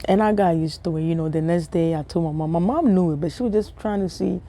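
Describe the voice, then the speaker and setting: A young woman from Ghana telling a funny personal story to her viewers in a casual, animated way, often repeating herself and laughing. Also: fairly low pitch, fast talker. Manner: animated, repetitive, laughing, talks to viewers as family. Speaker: vlogger.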